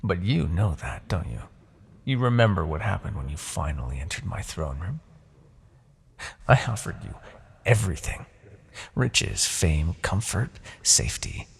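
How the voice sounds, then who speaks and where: A man playing a smug, seductive, possessive Demon King in a fantasy ASMR roleplay, with a gravelly, breathy voice and theatrical, taunting delivery. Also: low voice, big pitch swings, exaggerated voice. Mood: wounded, proud. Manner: theatrical, taunting, drawn-out phrasing with soft asides.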